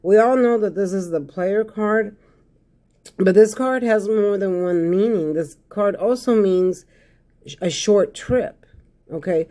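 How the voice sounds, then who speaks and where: A hoarse man giving a casual tarot reading to his viewers, repeating phrases and often checking in with "okay?". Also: high voice, slow, warm tone. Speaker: tarot card reader.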